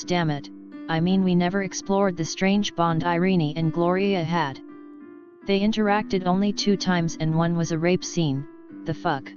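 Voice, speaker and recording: A woman with a low voice reads out a scripted review as a blunt, opinionated critic. The recording is a little dull and lo-fi, with guitar music playing clearly behind her. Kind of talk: scripted video review narration.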